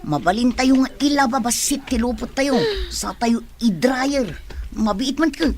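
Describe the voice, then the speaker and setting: A woman's voice: high-pitched, a little slow, slight background noise. A woman playing a vain, grumbling traveller in a radio comedy drama, with an Ilocano accent.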